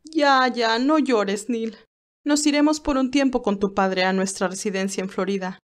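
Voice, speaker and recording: Woman acting an indulgent high-voiced rich mother. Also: moderate pace, natural voice. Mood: soothing. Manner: soothing, indulgent. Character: indulgent wealthy mother soothing her grown son.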